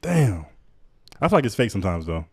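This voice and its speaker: Podcast co-host, Southern-accented man, quick profane banter, lowish voice, dry mic. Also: very animated, breathy edge. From Jamaican background. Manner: profane, joking, laughs often. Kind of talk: casual podcast chat.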